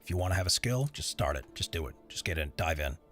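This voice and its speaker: A man with a very low voice talks casually on a live stream while he works, and his pitch swings widely from phrase to phrase. He rambles and answers chat as it comes in. Faint music sits under him. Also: animated, quick pace, a bit bright. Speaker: streamer editing voiceover audio.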